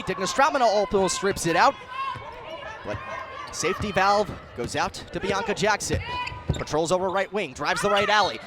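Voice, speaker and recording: High-pitched young American play-by-play man. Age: 20s. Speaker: sports broadcaster, play-by-play announcer. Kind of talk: sports commentary and scripted sports update.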